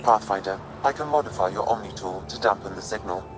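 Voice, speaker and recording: A man voicing a calm analytical AI. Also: fairly low pitch, music in the background, lightly acted. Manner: measured, formal. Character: calm male AI assistant, analytical. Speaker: game character. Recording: distant, echoey with loud game noise.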